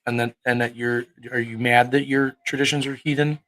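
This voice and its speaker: A man's voice: mid-range voice, little pitch movement, a little fast, a bit bright. A man in his thirties preaching on a livestream, arguing with chat in a combative, profane way, firing rhetorical questions. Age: 30s. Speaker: online preacher. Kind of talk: livestream talk answering chat.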